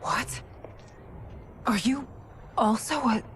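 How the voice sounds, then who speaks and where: A woman's voice: fairly high pitch, takes it slowly, slight background noise. An actress playing a dignified, earnest warrior queen with a touch of sorrow in a video game. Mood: bewildered.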